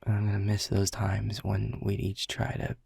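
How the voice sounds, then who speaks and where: A man's voice: on the low side, completely flat delivery, normal speed, vocal fry, darkish tone, breathy edge, dry recording, clean studio sound, full character voice. A man playing a world-weary male middle manager who is blunt and swears, confessing in a half-whisper. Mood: regretful. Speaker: boss. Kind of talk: audio roleplay acting.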